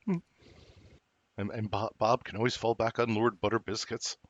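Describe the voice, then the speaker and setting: A middle-aged man co-hosting a podcast in casual chat, rambling with many halting restarts and fillers. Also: on the low side, little pitch movement, dry recording. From New Jersey. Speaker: podcast co-host. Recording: dull lo-fi dry recording.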